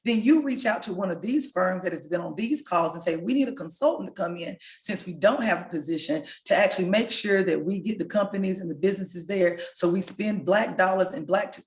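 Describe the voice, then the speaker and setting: A hoarse woman, a local elected official, giving an impassioned, rallying speech over a video call. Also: fairly low pitch. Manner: impassioned, rallying, direct appeals to listeners. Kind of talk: rousing speech. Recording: echoey room sound.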